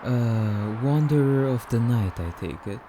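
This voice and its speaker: A man playing a suave, courtly immortal vampire in an ASMR roleplay, speaking in formal, theatrical, rambling musings with drawn-out phrases. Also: mid-range voice, background noise, lightly acted. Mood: wary, amused.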